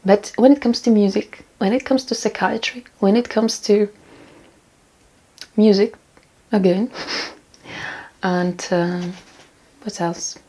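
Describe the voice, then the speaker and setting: Husky, mellow woman, rambling casual talk. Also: medium pitch, fairly even intonation, slow pace, pauses often. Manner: rambling, repeats phrases for emphasis. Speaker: self-improvement vlogger. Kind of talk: casual talk, giving tips and reading out comments.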